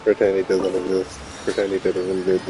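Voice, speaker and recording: A man's voice: low-pitched, completely flat delivery, a little fast, background noise. A man giving casual gameplay commentary as a streamer, muttering dry, sarcastic asides. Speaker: streamer playing a video game.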